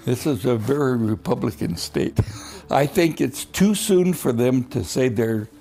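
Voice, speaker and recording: An older man with a gravelly, dark voice talks plainly and haltingly in a news interview. Faint music plays underneath him. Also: medium pitch, lively intonation.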